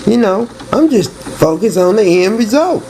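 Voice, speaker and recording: A man talks in a slow, rambling way with a gravelly creak. His casual monologue is slangy and circles back on repeated words. Clear noise sits behind him throughout the recording. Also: high-pitched, very animated. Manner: repetitive, slangy, restarting phrases. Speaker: narrator and storyteller.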